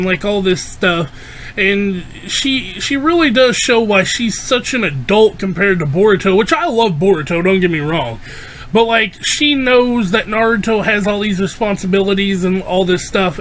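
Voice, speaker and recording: A man with a high, bright voice talks casually and loosely, full of fillers and restarts. His voice is wearing hoarse as he chats through an anime episode. The tone is light and sharp, pitched above most men. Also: slight background noise. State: losing his voice. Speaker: anime reviewer. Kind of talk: casual spoken episode review.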